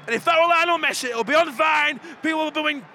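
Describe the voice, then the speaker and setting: A man's voice: very high voice, fast talker, sharp tone. A man with a Yorkshire accent giving irreverent, jokey, colloquial banter as he commentates on live football. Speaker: football commentator and podcast host. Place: outdoors at a football ground. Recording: clear outdoor background noise.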